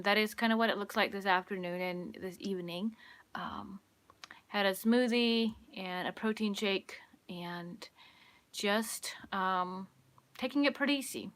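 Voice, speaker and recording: Bright-voiced woman chatting with lots of ums. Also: mid-range voice. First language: Pennsylvania Dutch. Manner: unhurried, hesitant, many ums and restarts. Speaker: livestream host. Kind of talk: casual livestream chat. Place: home room with sunlit curtains.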